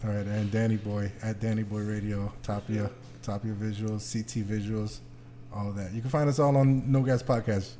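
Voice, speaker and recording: A man's voice: on the low side, level tone, moderate pace, warm tone. A man hosting a podcast, chatting casually with the group. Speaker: podcast host.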